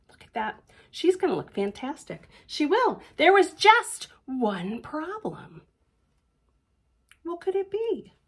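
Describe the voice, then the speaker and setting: A woman reading a children's picture book aloud with expressive, drawn-out words, whispered drops and chatty questions to young listeners. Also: a little high, slightly rough. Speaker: library storytime reader.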